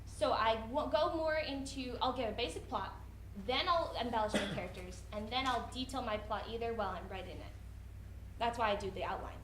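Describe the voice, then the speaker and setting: A young woman writer answering audience questions off the cuff, often halting and restarting her thoughts. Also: a little high. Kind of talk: unscripted question-and-answer talk after a reading. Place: indoor room at a college event. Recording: roomy echo, faint background noise.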